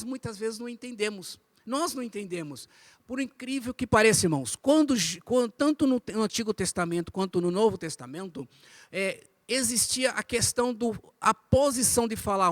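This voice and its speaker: A man from the São teaches a church Bible lesson, didactic, repeating phrases and asking rhetorical questions. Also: sits high. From Brazil. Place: inside a church, speaking to a congregation.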